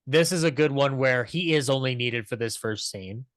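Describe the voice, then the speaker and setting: A man giving an enthusiastic off-the-cuff review as a podcast panelist, halting and repeating himself as he goes. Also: mid-range voice, a bit bright, no room sound, studio quality. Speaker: podcast panelist discussing classic comedy episodes. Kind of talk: casual discussion, off-the-cuff review.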